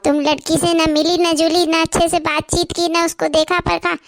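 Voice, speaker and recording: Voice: extremely high pitch, little pitch movement, moderate pace, gravelly, fairly bright tone, no echo, studio quality, exaggerated voice. A performer voicing an exasperated young man who complains to his mother, in a cartoon. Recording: cartoon with music underneath.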